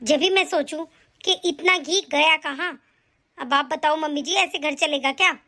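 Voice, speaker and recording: A woman's voice: sits high, bright, forceful tone. A woman doing a bossy, nagging aunt. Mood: complaining. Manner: scolding, nagging, quick. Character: bossy scolding aunt and daughter-in-law, middle-aged. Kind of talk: comic family skit acting.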